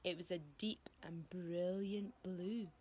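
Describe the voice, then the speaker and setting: A woman reading a story to schoolchildren expressively, giving descriptive words dramatic, deliberate emphasis. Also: fairly low pitch, steady tone, warm tone, slightly rough.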